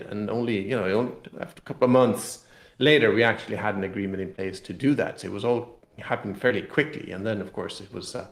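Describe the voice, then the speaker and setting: A man with a Swedish accent answering interview questions casually, haltingly restating phrases as he explains. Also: lowish voice. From Sweden. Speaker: guest, game designer and company head. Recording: echoey room sound.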